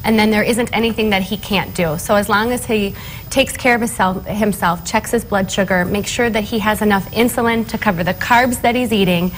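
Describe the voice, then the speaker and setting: A woman's voice: mid-range voice, fairly quick, poor audio. Matter-of-fact woman, noticeable room noise. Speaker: interview guest, parent. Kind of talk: conversational television interview.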